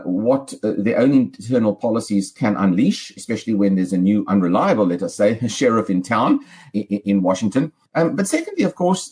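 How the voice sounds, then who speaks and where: A South African man speaking as an analyst in an interview, articulate and measured, building long, careful sentences. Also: lowish voice, dark tone. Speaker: political analyst guest. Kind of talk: interview commentary.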